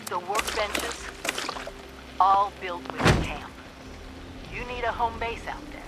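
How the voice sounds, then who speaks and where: An actress playing a resolute, caring woman overseer, speaking in a measured, earnest way on a video game audio log. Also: medium pitch, lightly acted. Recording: audio log with loud background noise.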